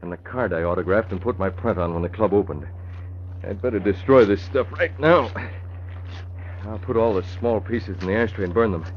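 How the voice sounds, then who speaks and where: An actor playing a composed, resolute crime-fighter, brisk and clipped, in 1940s radio style. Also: male voice, fairly low pitch, close to an everyday voice. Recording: dull, noisy old radio recording.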